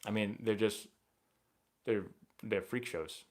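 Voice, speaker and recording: A young man hosting a live baseball stream, chatting casually and rambling excitably as he answers viewer questions. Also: fairly low pitch, slow. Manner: rambling and excitable, many fillers and restarts.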